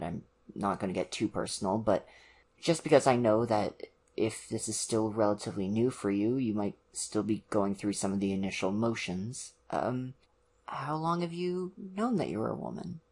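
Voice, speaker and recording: A performer playing an awkward, caring young college boyfriend in an ASMR roleplay, speaking hesitantly and gently to the listener. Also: deep voice. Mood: awkward, tentative. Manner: hesitant, gentle, awkward, many ums and pauses. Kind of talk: ASMR roleplay, one-sided conversation with the listener.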